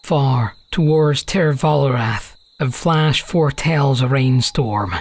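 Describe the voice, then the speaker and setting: A man's voice: medium pitch, slow. A man giving scripted narration for a fantasy audiobook, as the storyteller between characters' lines.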